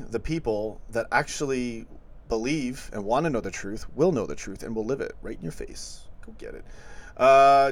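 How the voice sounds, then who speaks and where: A man hosting a livestream, reading chat comments aloud and arguing back in a casual, combative way. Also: mid-range voice. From not yet been to Liverpool. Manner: argumentative, reacts to chat comments, laughs often.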